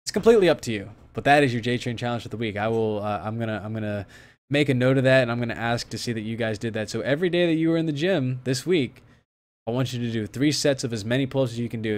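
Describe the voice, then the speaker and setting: Rambling young man on a livestream. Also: mid-range voice. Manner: rambling, many restarts and fillers; turns emphatic when setting a challenge. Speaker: fitness streamer host. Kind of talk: casual livestream chat answering viewers.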